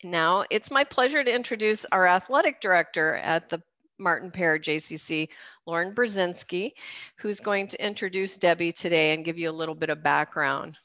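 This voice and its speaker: A woman's voice: fairly low pitch, moderate pace, fairly bright tone, dry recording. A woman coordinator hosting a video-call program, walking people through the call settings step by step. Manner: friendly, explains step by step with fillers. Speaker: fitness program coordinator and event host. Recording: dry, slightly dull video-call audio.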